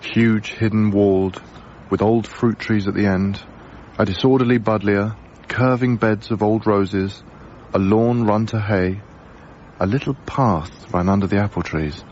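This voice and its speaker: An actor playing a reserved, observant young lodger in a radio drama, narrating in a measured, literate way. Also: male voice, lowish voice, fairly even intonation, a little mellow, muffled recording, a little hiss or hum, natural voice. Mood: reflective, wry. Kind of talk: radio drama first-person narration and dialogue.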